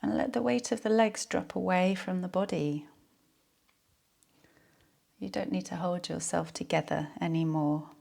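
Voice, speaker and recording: A woman leading a guided yoga nidra relaxation in a soothing, unhurried, soft-spoken way. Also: fairly low pitch, gravelly.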